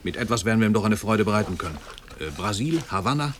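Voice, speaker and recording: An actor playing a celebrated writer in a radio play, worldly, suave and commanding, with a condescending air. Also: male voice, on the low side, animated, quick pace, slight background noise, natural voice. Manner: lordly, urbane, condescending. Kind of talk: 1950s German radio play acting.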